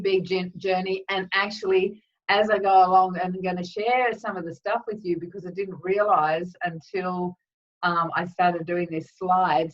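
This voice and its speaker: A woman energy healer hosting a live webinar with a slightly croaky throat, chatting casually with lots of ums and greeting viewers by name. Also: on the low side. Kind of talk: casual live-stream talk. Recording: distant, dull lo-fi webinar audio.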